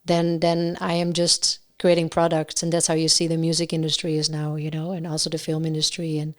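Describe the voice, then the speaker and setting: A woman with a Dutch accent, a singer-songwriter and guest on a podcast, chatting casually and often pausing to search for words. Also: sits low, monotone. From Netherlands. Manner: searching for words, many fillers and restarts.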